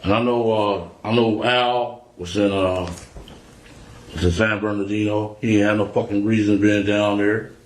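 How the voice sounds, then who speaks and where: A man's voice: on the low side, flat delivery, moderate pace, slight background noise. A man being questioned by police, talking in candid, insistent street slang with frequent swearing. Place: small indoor police interview room. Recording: echoey interrogation room audio.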